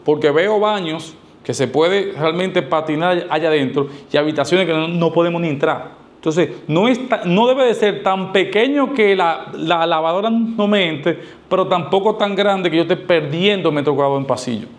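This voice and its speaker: A man's voice: a little high, moderate pace. A middle-aged man, explaining things to camera in an emphatic, didactic way with rhetorical questions and repetition. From Dominican Republic. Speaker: architect giving advice. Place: small indoor studio, seated. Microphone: lavalier clipped to shirt.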